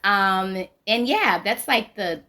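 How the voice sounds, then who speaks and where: A woman's voice: mid-range voice, animated, slow, sharp tone. Chatty middle-aged New woman. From New Orleans, USA. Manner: chatty and storytelling, with frequent ums and "right?". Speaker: podcast guest, midwife and founder. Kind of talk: casual interview chat.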